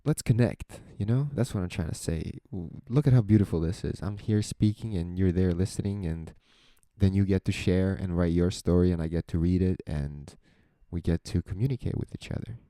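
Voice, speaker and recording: A young man with a soft, soothing voice, talking slowly and reflectively into a close microphone in a solo podcast. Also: deep voice, no echo. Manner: slow, introspective, rambling. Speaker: podcast host.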